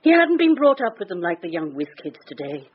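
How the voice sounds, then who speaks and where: An actress playing a bitter, neglected wife with a British accent in a radio drama. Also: female voice, lowish voice, sing-song, brisk, husky, phone-quality sound. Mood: bitter, defensive.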